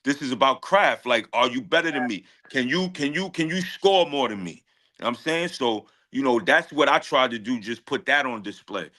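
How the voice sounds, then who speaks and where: A man's voice: medium pitch, lively intonation, moderate pace, pushed and bright. A man, a rapper talking casually in an interview with heavy slang, confident and emphatic, often repeating his phrases. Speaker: guest rapper.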